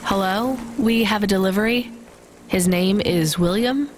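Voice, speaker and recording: A woman's voice: medium pitch, a little slow, soft background music, close to an everyday voice. A woman playing a reserved, weary delivery driver with a dry, understated manner in a video game. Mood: matter-of-fact.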